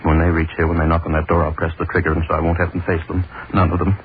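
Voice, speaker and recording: A haunted, desperate executioner, played by a man broods in a low, tormented voice. It is 1930s radio drama, thin and tinny with a faint hiss behind it. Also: completely flat delivery, rapid-fire, close to an everyday voice. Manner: tormented, brooding inner monologue. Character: haunted middle-aged male state executioner, desperate. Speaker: actor.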